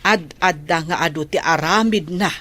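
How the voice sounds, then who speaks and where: A woman's voice: on the low side, normal speed, a bit bright, lightly acted. A woman acting a reproachful, opinionated middle-aged relative with a Filipino accent in an Ilocano radio drama.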